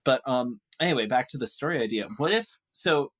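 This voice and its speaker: A man's voice: medium pitch, lively intonation, a little fast, a bit bright. A man in casual conversation, working through ideas aloud in a loose, hesitant way. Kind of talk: casual brainstorming chat.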